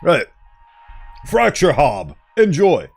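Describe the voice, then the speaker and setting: A man with a smooth voice streaming a game, chatting casually and playfully reading game text aloud in a mock-formal tone. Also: fairly high pitch, wildly swooping pitch, slow, soft background music.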